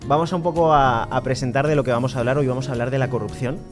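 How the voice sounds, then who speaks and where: A man's voice: medium pitch, steady tone, racing, over music. A man hosting a podcast, a lawyer who leads the talk in a friendly, explaining way. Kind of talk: casual podcast chat.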